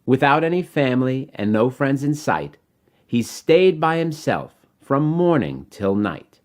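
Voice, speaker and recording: A man reading his own rhyming children's story in an expressive, dramatic way. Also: mid-range voice, a little slow.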